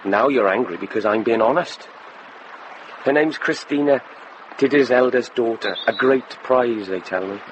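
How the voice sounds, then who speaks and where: A man plays a young soldier in a period radio drama, frank and boyishly earnest. His voice sits at a middling pitch, with clear background noise around it. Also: moderate pace, close to an everyday voice. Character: earnest young Welsh soldier, a wounded prisoner.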